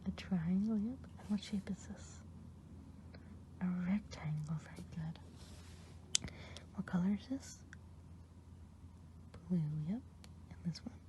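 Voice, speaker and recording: A woman voicing a kindly good witch in an ASMR roleplay, whispering softly with patient, encouraging praise. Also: mid-range voice, slow pace, pauses often, close to an everyday voice. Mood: gentle.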